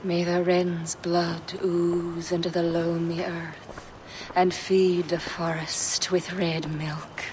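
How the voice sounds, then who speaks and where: An actress playing a mysterious healer woman who speaks in a hushed, solemn way, often near a whisper. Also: on the low side, level tone, takes it slowly, noisy background.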